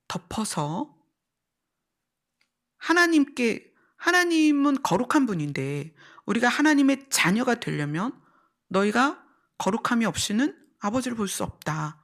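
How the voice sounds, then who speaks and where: A woman's voice: fairly high pitch, big pitch swings, unhurried. A woman pastor preaching and teaching, explaining step by step, repeating key phrases and putting questions to her listeners. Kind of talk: church sermon with teaching and illustrations.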